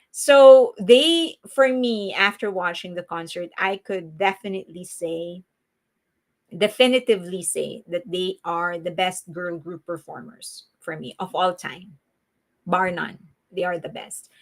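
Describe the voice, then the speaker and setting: A woman with a Filipino accent hosting a live stream, chatting casually with her viewers, laughing often and restarting her sentences. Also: fairly low pitch. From Philippines. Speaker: livestream host.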